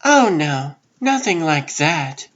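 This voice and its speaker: A man's voice: a little high, sing-song, slow pace, fairly bright tone, dull audio, heavily acted. A man voicing a guarded but friendly woman reporter in a comic book dub.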